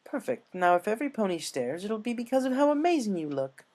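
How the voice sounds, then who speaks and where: A man's voice: sits high, very animated, quick pace, darkish tone, voice pushed up. A man voicing a refined, doting female pony in a story reading. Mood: tender, pleased.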